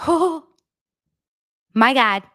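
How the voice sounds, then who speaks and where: A woman's voice: high voice, animated, drawn-out words, pushed and bright, dry recording, studio quality. A woman hosting an online show, giving casual, exclamatory commentary straight to her audience. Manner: emphatic, exclamatory.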